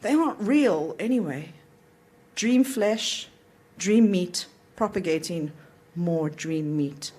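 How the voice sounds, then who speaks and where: A woman's voice: fairly low pitch, animated, slow pace, darkish tone, husky. A woman reading a literary short story aloud at an event, in the narrator's voice. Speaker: author reading own story.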